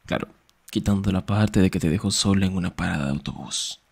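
A man acting a young, sarcastic and jealous best friend in an ASMR roleplay, teasing in a breathy voice that often drops toward a whisper. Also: low voice, brisk, darkish tone, full character voice. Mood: wry, teasing.

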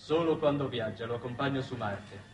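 A man's voice: mid-range voice, a little fast, natural voice. An actor in his thirties playing a formal, deferential ship's doctor in a 1960s television drama, with courteous, precise diction. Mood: clipped, businesslike. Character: formal, deferential male doctor aboard a spaceship. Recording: noisy old 1960s television soundtrack.

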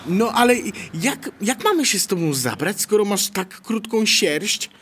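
A woman's voice: mid-range voice, very animated, a little slow, breathy edge. A woman voicing a tiny, timid sprite with a hesitant stammer in a children's audio play. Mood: doubtful.

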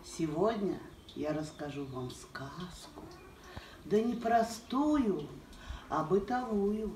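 An older woman telling a rhyming folk tale in a slow, sing-song way from her kitchen. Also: on the low side, lively intonation, warm tone. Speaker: storyteller, the uploader's aunt. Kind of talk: folk-tale storytelling in rhyme. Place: indoor kitchen, seen through a window. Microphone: far camera. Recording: roomy, distant, noisy camera audio.

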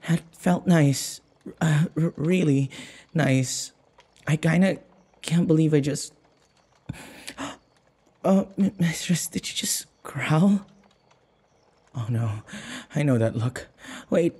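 A man playing a timid, submissive human in an intimate audio roleplay, halting and stammering, with a breathy, husky voice. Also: a little high, a little mellow. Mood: flustered.

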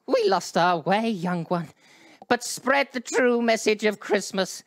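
A man voicing a villainous male elf leader in a live radio play, theatrical and given to shouted curses. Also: high voice, animated, measured pace. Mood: wistful. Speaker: voice actor in a charity-stream radio play.

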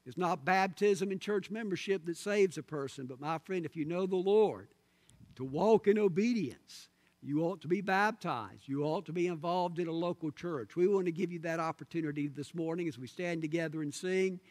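A man's voice: a little high, a little mellow. A middle-aged male pastor earnestly pleading with his congregation from the pulpit, recorded on a pulpit microphone in a large church sanctuary. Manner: earnest, pleading appeals with pauses. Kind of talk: sermon invitation and prayer. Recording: long sanctuary reverb.

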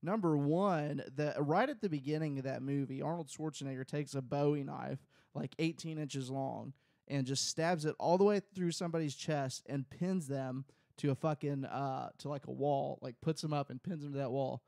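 A man's voice: on the high side, moderate pace, vocal fry, darkish tone, no echo, clean studio sound. A man in his thirties co-hosting a podcast in casual chat, rambling with crude jokes and lots of fillers. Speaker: podcast co-host. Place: small home room. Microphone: close studio mic on boom, headphones.

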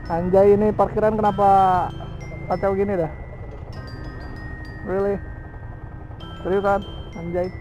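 Slow-talking young man vlogging on a motorbike. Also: high-pitched, little pitch movement, lo-fi sound, over music. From Indonesia. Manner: slangy, joking, laughs often. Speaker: motovlogger. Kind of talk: casual motovlog chat while riding. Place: outdoors on a motorcycle on a tree-lined road. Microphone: helmet-mounted action camera mic. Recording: wind-buffeted helmet mic, engine noise.

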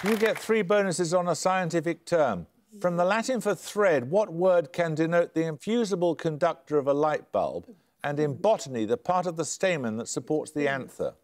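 An older man, the host of a studio quiz show, reads each question crisply and evenly. His voice sits a little high for a man, and he sounds dryly amused when teams get it wrong. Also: lively intonation, normal speed. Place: television studio.

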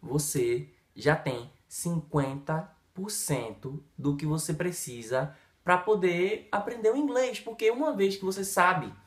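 Lively Brazilian man teaching on camera. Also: high-pitched, unhurried, roomy sound. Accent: Brazilian Portuguese. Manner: lively, emphatic, talks straight to the viewer with many gestures. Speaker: English teacher. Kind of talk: energetic video lesson to camera. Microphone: camera in front, head and shoulders framing.

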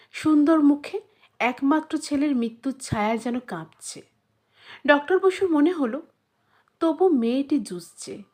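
A woman narrating a literary story in an expressive, dramatic and measured storytelling manner. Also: on the high side, breathy edge.

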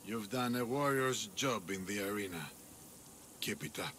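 A male actor plays a proud, battle-worn arena champion from a video game. His voice is fairly low and forceful, with a grave, declamatory delivery. Faint ambience sits behind it. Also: normal speed, a little hiss or hum, natural voice. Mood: gruff approval. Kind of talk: video game character acting.

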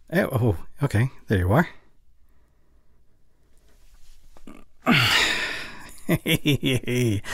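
Man playing a tender boyfriend, softly husky. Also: mid-range voice, dry recording, clean studio sound, exaggerated voice. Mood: worried, soothing. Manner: intimate, halting, breathy sighs, stammering when flustered. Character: overworked, tender young boyfriend, anxious and protective. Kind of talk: ASMR boyfriend roleplay audio drama.

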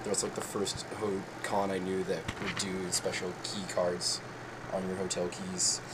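Brief: low-voiced guy, excitable rambling show-and-tell, phone mic, noisy room with AC hum. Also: a little slow. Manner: excitable, rambling, jumps into sudden high squeals. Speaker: vlogger. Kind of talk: casual show-and-tell vlog.

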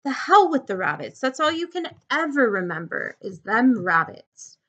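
A woman's voice: medium pitch, expressive, moderate pace, sharp tone. Woman reading as irritable, bossy rustic drifter man. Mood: exasperated. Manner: rustic dropped-grammar speech, scolding. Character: irritable, bossy little ranch drifter man, gruff and scolding. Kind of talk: audiobook-style character reading of a novel.

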